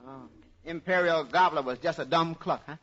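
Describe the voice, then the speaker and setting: A man's voice: a little high, normal speed, very noisy, natural voice. A man with an American accent playing a folksy, wisecracking household helper in 1940s radio comedy. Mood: dry, easygoing. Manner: folksy, teasing, puzzled questioning. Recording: muffled old radio recording.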